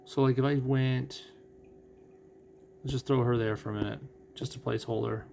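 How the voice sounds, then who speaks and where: A man giving casual live game commentary as a streamer, musing and thinking out loud as he goes. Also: mid-range voice, fairly even intonation, normal speed, vocal fry, a little hiss or hum. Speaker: gaming streamer.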